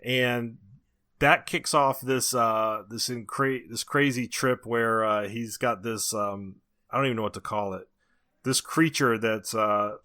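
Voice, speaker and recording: A man's voice: mid-range voice, fairly even intonation, a little slow, bright, forceful tone. An American man co-hosting a podcast, reviewing movies in casual conversation. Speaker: podcast co-host.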